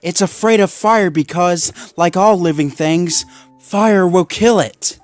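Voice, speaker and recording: A man's voice: high voice, slow, no echo, faint music behind, pitched above the performer's own voice. A man voicing a determined young male Labrador dog who talks in an intense, resolute way.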